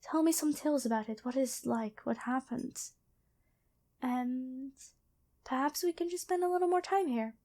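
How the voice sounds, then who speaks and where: A woman playing a kind angel medic and former nun in an ASMR roleplay, speaking gently and hesitantly to the listener. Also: high-pitched, moderate pace. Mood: friendly, curious. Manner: gentle, soft-spoken, apologetic, hesitant.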